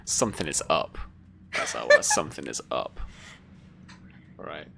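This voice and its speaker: Low, bright-voiced tabletop game master. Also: huge pitch swings, creaky, slight background noise. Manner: casual, improvised, halting storytelling. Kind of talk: narrating a tabletop roleplaying game.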